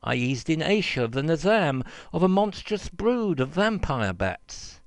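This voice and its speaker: A man voicing a tall, mysterious piper who is courteous, quietly confident and persuasive in a storybook verse tale. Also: on the high side, very animated, measured pace, dry recording, clean studio sound.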